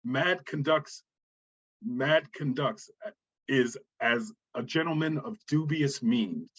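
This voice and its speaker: A man's voice: medium pitch, slow, fairly bright tone. A man reading out screenplay stage directions as a scripted narrator. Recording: dull, muffled sound.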